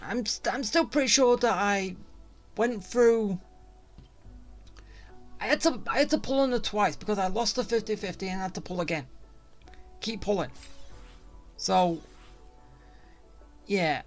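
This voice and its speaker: A game streamer talking casually through gameplay with music playing behind. The delivery is rambling and a little grumbly, like someone thinking out loud. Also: medium pitch, a little slow, pauses often. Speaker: gaming streamer.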